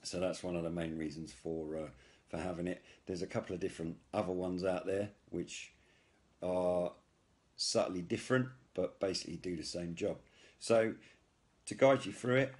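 A middle-aged British man giving a casual product demonstration in a halting, hesitant way, as a video host. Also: low voice, a little mellow.

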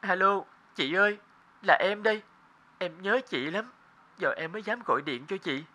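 An actor playing a despondent, ashamed younger brother in prison, plaintive and tearful, in a cartoon melodrama. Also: male voice, sits high, animated, normal speed, sharp tone, exaggerated voice. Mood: tearful, longing. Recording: music under the dialogue.

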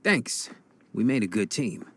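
Blunt, animated young gang boss. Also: male voice, on the low side, a little slow. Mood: cocky. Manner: blunt, cocky, plain-spoken. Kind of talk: video game cutscene acting.

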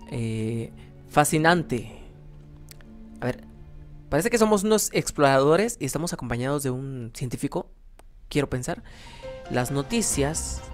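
A young adult man with a Mexican accent giving casual, self-deprecating gameplay commentary, rambling and thinking aloud. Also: mid-range voice. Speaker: gaming YouTuber, host. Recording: background music under voice. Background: music.

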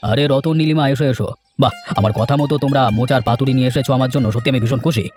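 A man voicing a dignified, righteous elderly village gentleman in a fairy tale cartoon, emphatic and given to repeating words. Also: medium pitch, rapid-fire, faint music behind, voice pushed down, natural voice. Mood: delighted.

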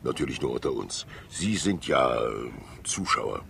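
An actor playing a fat, wily, talkative older state councillor in a 1960s radio crime drama, jovial and theatrical, rambling with asides and pauses. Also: male voice, very deep voice, sing-song, vocal fry, sharp tone, full character voice. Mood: confiding, sly. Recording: hissy 1960s radio recording.

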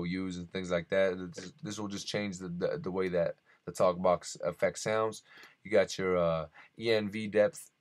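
Low, monotone man giving a casual tutorial. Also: no room sound. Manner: informal, addresses viewers as guys. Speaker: audio software tutorial host. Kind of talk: casual screen-recorded tutorial narration.

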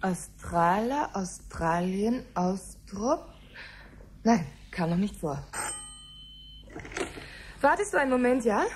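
A woman's voice: mid-range voice, lively intonation, takes it slowly, long pauses, a little hiss or hum. A woman playing an easygoing command-center colleague in casual, friendly radio-play dialogue. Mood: musing.

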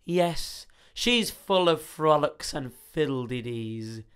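Male children's story reader: expressive narration, unhurried pace, lively pitch movement. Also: clear, resonant tone.

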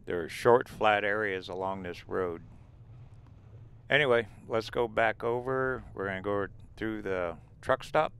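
A man with a low, gravelly voice talks in an easy, rambling way, recorded from inside a vehicle as he drives. His words come through smooth and clear, and he points out each sight as it passes. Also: a little slow, a bit bright. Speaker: RV travel vlogger. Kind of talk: casual narrated drive and drone tour. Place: inside a vehicle driving desert roads.

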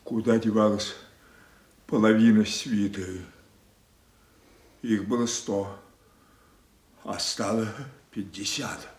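An actor playing a proud, wounded old king in a radio tragedy, declaiming between hushed grief and thunderous anger. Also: lowish voice, fairly even intonation, takes it slowly, pauses often. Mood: bitter disbelief. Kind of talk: classical stage tragedy acting in a radio play. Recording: echoey Soviet radio theatre recording.

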